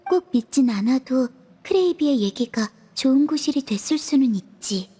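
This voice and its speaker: A woman voicing a calm, impassive teenage sister in an anime-style game, deadpan and coolly reassuring. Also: high-pitched, normal speed, breathy edge.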